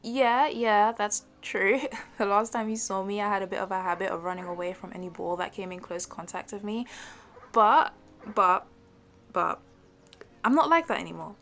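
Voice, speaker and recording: A woman acts out a sporty young woman in an intimate one-sided audio roleplay. She talks to a childhood best friend in a playful, teasing way, competitive but a little halting. Her pitch sits in the middle range for a woman. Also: a bit bright, soft background music, lightly acted. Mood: playful, challenging. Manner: playful, teasing, with hesitant halting starts and giggles.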